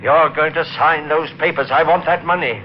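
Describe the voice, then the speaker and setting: An actor playing a greedy murderer who narrates his own crime in a 1950s radio drama, smooth and menacing. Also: a little high, moderate pace, lightly acted. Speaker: narrator and lead. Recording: dull old radio recording with faint hiss.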